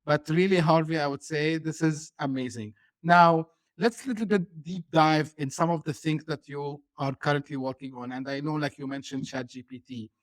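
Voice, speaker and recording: A man's voice: a little high, steady tone, moderate pace, hardly any pauses, no room sound. Rambling male interview host. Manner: rambling, searching questions. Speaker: podcast host. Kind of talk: interview questions.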